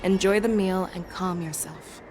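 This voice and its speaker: Casting: woman as a commanding game warrior general, lower register, wry, self-assured, music behind. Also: steady tone, a little slow, close to an everyday voice. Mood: gently chiding. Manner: composed, assured, teasing. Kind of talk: acted game story dialogue.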